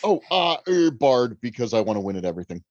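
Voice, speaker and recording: A man with a hugely animated, swooping voice banters as a podcast guest, playful and wordy. The sound is dry and somewhat dull, as if band-limited. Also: mid-range voice, quick pace, no room sound. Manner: playful, wordy, mock-apologetic. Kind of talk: casual banter.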